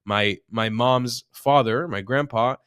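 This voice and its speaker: A man's voice: medium pitch, slow, fairly bright tone, clean tone, dry recording, clean studio sound. A man from Israel, a journalist guest on a video call, talking passionately and arguing with rhetorical questions. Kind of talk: casual interview chat.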